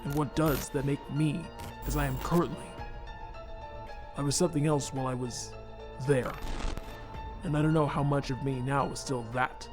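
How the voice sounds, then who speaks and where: Guy playing a guilt-ridden skeleton scientist dad, formal and halting, mid-pitched, comic dub with faint music behind. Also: steady tone, close to an everyday voice. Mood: haunted, reflective. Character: remorseful, formal skeleton scientist, father, haunted and dutiful. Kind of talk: fan comic dub acting.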